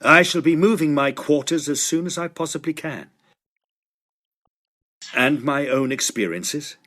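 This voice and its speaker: An actor playing an earnest, blunt young Victorian student in an audio drama, with emphatic old-fashioned phrasing. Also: male voice, fairly high pitch, a little slow, bright, forceful tone. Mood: uneasy, confiding. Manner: emphatic, old-fashioned turns of phrase, some hesitant stammering. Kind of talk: period audio drama acting.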